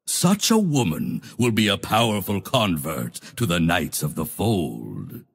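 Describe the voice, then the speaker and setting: A commanding holy knight from a fantasy game. His voice is gravelly and husky, sweeping widely in pitch. He declaims with solemn, grandiose conviction, proud and zealous. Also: big pitch swings, a little slow, full character voice. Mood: solemn, calculating. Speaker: video game character voice. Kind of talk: theatrical fantasy game voice lines.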